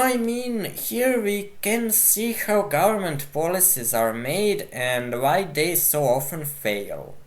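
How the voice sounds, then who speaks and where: Man, slow deliberate delivery, blunt and argumentative, commenting on a video. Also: on the high side. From Croatia. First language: Croatian. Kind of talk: responding to a video.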